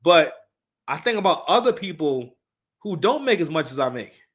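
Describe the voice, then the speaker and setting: A man's voice: on the high side, sing-song, normal speed, fairly bright tone. A man in his thirties giving casual, unscripted live commentary, talking emphatically, repeating his phrases and swearing freely. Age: 30s.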